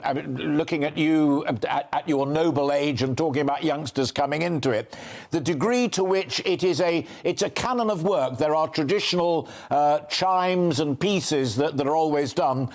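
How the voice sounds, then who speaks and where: A middle-aged man with a British accent interviewing on a live news broadcast in an effusive, wordy, emphatic manner. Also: a little high.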